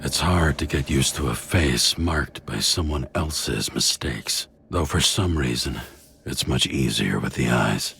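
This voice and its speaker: An actor playing a weary, cynical noir detective in a video game, terse and haunted, with a gravelly voice. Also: male voice, a bass voice, lively intonation, a little slow, fairly bright tone, a little hiss or hum, full character voice. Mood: weary, reflective. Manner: brooding, terse, world-weary. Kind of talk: noir voice-over and game dialogue. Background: prominent music.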